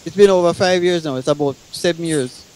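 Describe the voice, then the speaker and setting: A man recounting his own experience as a talk show guest, halting and repeating words as he explains. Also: a little high, a little mellow, poor audio. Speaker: guest musician and songwriter.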